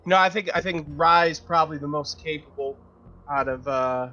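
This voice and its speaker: A man roleplaying as a player in a tabletop game, improvising his lines haltingly. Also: fairly high pitch, sharp tone, highs cut off. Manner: hesitant, halting.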